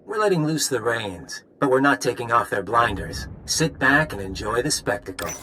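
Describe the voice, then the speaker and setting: An actor playing a cocky, sly conspirator who taunts casually in a video game. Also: male voice, mid-range voice, very animated, moderate pace, background noise, natural voice. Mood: smug taunting. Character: smug, sardonic male spy conspirator, cocky and taunting.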